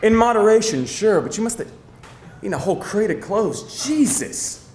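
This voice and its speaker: Man playing an irritable, animated sardonic vampire, roomy sound. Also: sits high, normal speed. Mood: exasperated. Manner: exasperated, sarcastic. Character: irritable, sardonic male vampire with an office job. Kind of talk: live screenplay table read. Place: indoor live reading before an audience. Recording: roomy live venue, faint noise.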